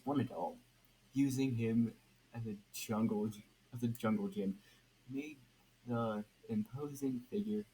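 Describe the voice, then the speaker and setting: A man's voice: fairly low pitch, warm tone, a little hiss or hum, rough recording. A young man reading a story aloud as an amateur, stumbling over and repeating words. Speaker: amateur podfic reader.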